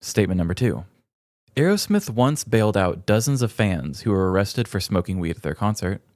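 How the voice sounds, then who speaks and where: A man's voice: lowish voice, big pitch swings, a little fast, a little mellow, no room sound, studio quality. A man co-hosting a comedy podcast in a conversational, jokey way. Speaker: podcast co-host. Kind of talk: casual comedic chat, sometimes reading aloud.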